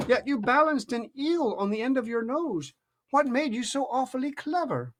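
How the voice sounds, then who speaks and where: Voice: high voice, big pitch swings, pitched above the performer's own voice. A parent reading aloud, voicing a polite, anxious young girl in a raised voice that stumbles over words. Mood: reciting. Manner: raised, hurried, slightly stammering.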